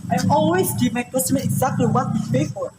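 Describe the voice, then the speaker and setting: A high voice, somewhat far from the mic, a woman as an earnest baker in a storybook tale. The character is troubled yet generous at heart, and there is clear noise in the recording. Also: quick pace, lightly acted. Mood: pondering. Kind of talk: readers theater acting.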